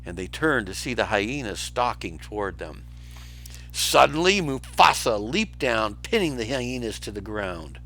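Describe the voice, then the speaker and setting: Casting: male teacher for storybook narration, lowish gravelly voice, expressive, unhurried. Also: soft background music, low-quality recording. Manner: expressive, with brief asides. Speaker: teacher reading to pupils.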